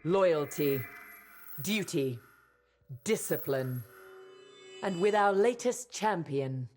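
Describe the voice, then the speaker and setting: An actress playing a proud, ruthless Imperial squadron leader, giving a grandiose, measured speech in a video game. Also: female voice, fairly low pitch, expressive, a little mellow, soft background music. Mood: proud menace. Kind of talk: cinematic game monologue.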